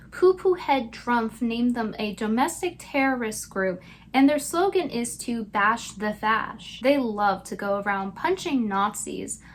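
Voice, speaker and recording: A woman's voice: on the high side, slight background noise. A woman delivering scripted commentary in a sarcastic manner. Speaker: video commentator.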